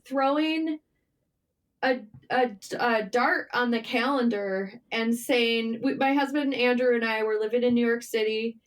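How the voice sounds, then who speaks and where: A woman's voice: a little high, unhurried. A middle-aged American woman chatting informally as an interview guest, telling personal stories with emphatic repetition. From Michigan, United States. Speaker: podcast guest, writer. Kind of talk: conversational interview storytelling.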